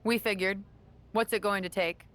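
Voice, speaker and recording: A woman's voice: medium pitch, steady tone, moderate pace, a bit bright, soft background music, natural voice. A woman playing a regal, self-assured champion wrestler in a video game cutscene. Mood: haughty.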